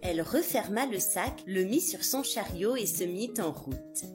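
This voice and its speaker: A woman narrating a scripted fairy tale for young children in an expressive, dramatic way. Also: mid-range voice, a little slow. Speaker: children's storyteller. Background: music behind the voice.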